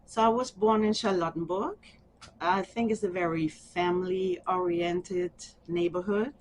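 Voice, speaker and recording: A middle-aged woman from Germany with a German accent, speaking softly and haltingly with small laughs in an oral history interview. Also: on the low side, slight background noise, rough recording. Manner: soft, halting, with frequent ums and small laughs.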